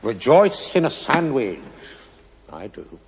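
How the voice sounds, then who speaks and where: An actor playing a wry, fatalistic stonemason in a television play, philosophising in a declamatory way. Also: male voice, medium pitch, sing-song, takes it slowly, background noise. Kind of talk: television stage-play acting. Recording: echoey hall with long reverb.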